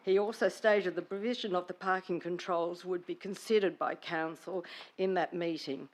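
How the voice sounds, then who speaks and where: An Australian woman with a croaky voice, reading her prepared questions to council carefully and formally. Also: fairly low pitch, steady tone. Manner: careful, slightly halting, formal. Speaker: resident asking questions at council meeting.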